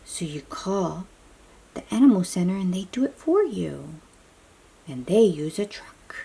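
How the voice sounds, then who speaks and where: A woman's voice: lowish voice, big pitch swings, unhurried, gravelly, dark tone, husky. A woman reading a picture book aloud for young children, explaining in simple phrases and putting questions to the listener.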